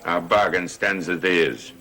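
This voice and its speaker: A man's voice: low-pitched, normal speed. An actor playing a stern, suspicious, power-hungry stepfather in an old gothic TV soap, accusing and grandiose in manner. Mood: stern, demanding. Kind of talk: 1960s-70s gothic TV soap acting. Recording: old TV soundtrack under loud music.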